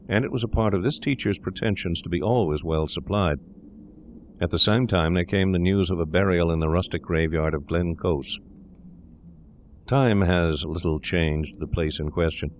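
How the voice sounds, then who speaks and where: Deep-voiced man narrating a gothic tale. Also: slight background noise. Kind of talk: scripted gothic story narration.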